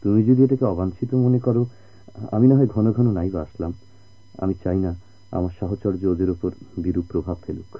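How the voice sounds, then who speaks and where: A kind patient family friend, low voice, bangladeshi accent, earnest radio play style, muffled old recording. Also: male voice, steady tone, brisk. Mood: tender, restrained. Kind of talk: Bengali radio drama acting.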